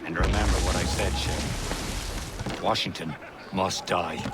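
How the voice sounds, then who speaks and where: An actor playing a stern ship's officer and conspirator in a dramatic video game, with wind and sea noise behind him. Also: male voice, sits low, steady tone, slow pace. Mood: grim.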